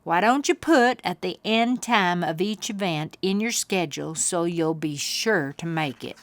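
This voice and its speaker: Woman playing a friendly, animated cowboy uncle. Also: fairly low pitch, measured pace, no echo. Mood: encouraging. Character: friendly cowboy uncle, a bull rider, encouraging.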